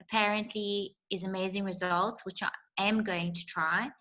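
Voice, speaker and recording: A woman with a bright, sharp tone rambles cheerfully through her part of the talk. Her delivery is bubbly and casual. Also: medium pitch, steady tone, moderate pace, poor audio. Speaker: presenter. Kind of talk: casual group presentation.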